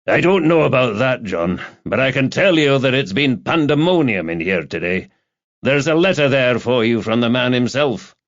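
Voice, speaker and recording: A man voicing a hearty, chatty male police detective sergeant in an audiobook, with an animated, blokey manner. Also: medium pitch, moderate pace, lo-fi sound, voice pushed up, close to an everyday voice. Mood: chatty, teasing.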